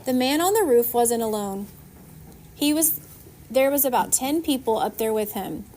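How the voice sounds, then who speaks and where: A woman narrating a children's novel aloud in an expressive storytelling style. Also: on the high side, normal speed. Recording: faint background noise.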